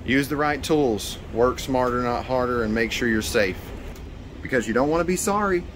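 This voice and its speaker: A man's voice: medium pitch, moderate pace. A man in his thirties with an American accent, explaining things casually and upbeat as a technician hosting an instructional vlog. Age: 30s. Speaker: HVAC technician and video host. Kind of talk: informal instructional vlog to camera. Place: outdoors by a building and in utility spaces. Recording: clear background noise, outdoors and utility room.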